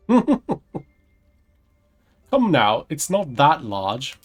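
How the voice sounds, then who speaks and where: A man's voice: mid-range voice, sing-song, drawn-out words, soft background music, exaggerated voice. A man voicing a curious male adventurer, reading video game dialogue aloud in a conversational, questioning way. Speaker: gamer voicing his player character.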